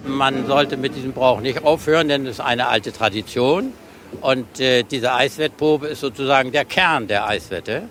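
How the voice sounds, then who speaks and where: A man explaining a custom in a news interview, slightly halting. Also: medium pitch, a bit bright, soft background music.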